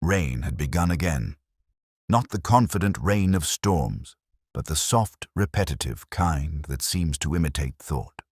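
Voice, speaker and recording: A man reading a scripted first-person detective story in a measured, reflective, literary manner. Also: a bass voice, animated, breathy edge, no room sound, studio quality. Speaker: narrator.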